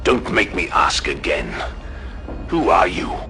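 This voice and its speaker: A stern, commanding warrior lord, played by a man in an audio drama. His delivery is curt and cold in a bright, forceful voice, over faint music. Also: low-pitched, very animated, takes it slowly, gravelly, exaggerated voice. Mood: demanding. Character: stern, commanding male armoured warrior leader.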